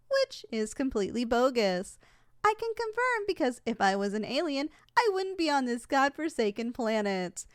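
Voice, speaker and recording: A woman's voice: high-pitched, no echo, studio quality. A woman playing a polite, unflappable shop tour saleswoman in a scripted ASMR roleplay, formal and matter-of-fact. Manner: formal, courteous, matter-of-fact about danger. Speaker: voice actor in audio roleplay.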